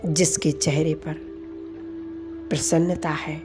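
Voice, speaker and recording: Female meditation guide, calm and soft, very unhurried pacing over faint music. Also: sits low. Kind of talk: slow guided meditation commentary. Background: background music.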